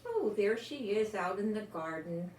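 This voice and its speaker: A woman's voice: medium pitch, lively intonation, unhurried, darkish tone. An older woman reading a children's picture book aloud expressively, lingering on words and adding chatty asides as if to small children. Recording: roomy home recording with faint noise.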